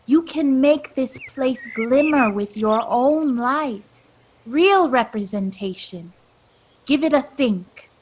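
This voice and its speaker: Slow, knowing female guide, acted by a woman. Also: high-pitched, lively intonation, background noise. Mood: encouraging. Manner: measured, coaxing, solemn. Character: wise, calm, knowing female guide from home. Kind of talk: radio play acting.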